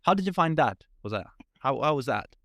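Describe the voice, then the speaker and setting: A young man hosts a podcast interview, his pitch rising and falling in a lively way. He sounds upbeat, tossing in quick affirmations between questions. The sound is close and dry. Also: dry recording. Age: young adult. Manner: upbeat, repeats short affirmations. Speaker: podcast host. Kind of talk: friendly interview hosting.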